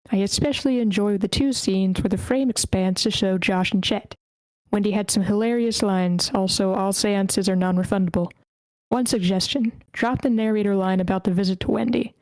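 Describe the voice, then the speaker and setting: A woman's voice: mid-range voice, steady tone, moderate pace, a little mellow, no room sound, poor audio. Woman moderator, dry close sound. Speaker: host moderating feedback session. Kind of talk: casual moderating and reading messages aloud. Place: online video meeting.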